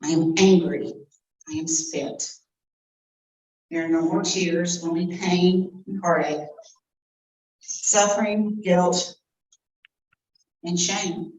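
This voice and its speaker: A grieving woman disciple mourning at the cross, acted by a woman, anguished and halting in her pleas. Her voice sits low and is heard from across an echoey room through a distant microphone, with faint background hiss. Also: steady tone, darkish tone. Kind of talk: live dramatic monologue.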